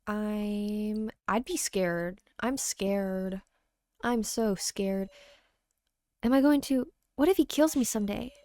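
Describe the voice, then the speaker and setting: A woman voicing an anxious, dry-witted teenage schoolgirl in a game, speaking softly and hesitantly. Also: medium pitch, takes it slowly, no room sound, natural voice. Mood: frightened.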